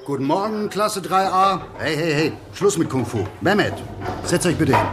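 A man playing a brisk primary school teacher in a children's radio play. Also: a little high, expressive, heavy background noise, natural voice.

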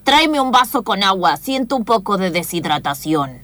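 A woman's voice: medium pitch, sing-song, moderate pace, a bit bright. A woman playing an eccentric male scientist, dazed and rambling in bewilderment, in an improvised radio play. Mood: bossy.

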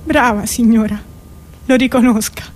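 A high-pitched female voice for a tragicomic theatre piece: an actress as a coarse mother in grief over her jailed son. She frets and complains in insistent, incantatory repetitions. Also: steady tone, moderate pace, a little mellow, slight background noise, lightly acted. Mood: anxious, bitter. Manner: incantatory, echoing repeated phrases. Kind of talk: tragicomic two-woman theatre monologue.

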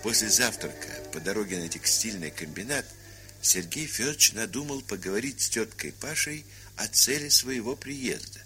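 A male narrator reads literary prose for an audio play. His voice is low yet clear-edged and bright, with faint music behind him. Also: animated, moderate pace.

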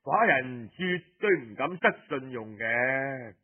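A man with a Cantonese accent voicing a ruler who makes humble, evasive excuses in formal, measured, deferential phrasing. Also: mid-range voice, lively intonation, phone-quality sound, pitched below the performer's own voice. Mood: apologetic, formal.

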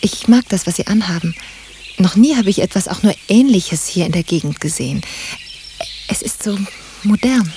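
An actress playing a bored, romantic, genteel provincial wife in a radio drama. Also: female voice, medium pitch, lively intonation, moderate pace, warm tone, slight background noise, natural voice. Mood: yearning.

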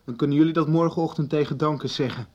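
A man's voice: fairly high pitch, steady tone, fast talker, a little mellow, close to an everyday voice. A young man playing a stubborn teenage schoolboy in a radio soap, argumentative and insistent. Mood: defiant. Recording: muffled 1990s radio recording.

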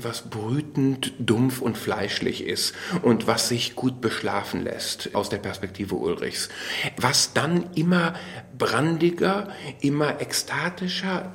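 Creaky-voiced man, eloquent and intellectual. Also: medium pitch. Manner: eloquent, intellectual, emphatic phrasing. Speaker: interviewee, commentator on literature. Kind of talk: spontaneous analytical commentary on a novel.

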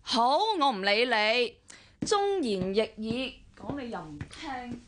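An actress with a Hong Kong Cantonese accent playing a preachy, quick-tempered married elder sister in a radio drama, speaking indignantly. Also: female voice, fairly high pitch, animated, a little hiss or hum. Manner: scolding, indignant.

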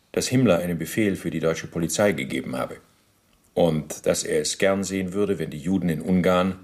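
An actor playing a bureaucratic, self-justifying Nazi war criminal under interrogation in a radio play, speaking formally and officiously. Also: male voice, low voice, darkish tone. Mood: matter-of-fact. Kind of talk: German radio docudrama interrogation acting.

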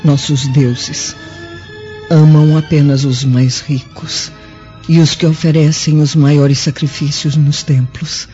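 Slow, dark-voiced dying Roman noblewoman. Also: low voice, little pitch movement. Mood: humble, grateful. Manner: solemn, halting, formal. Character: dying, remorseful, humble older Roman noblewoman. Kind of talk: radio drama acting. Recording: dull lo-fi audio with music behind.

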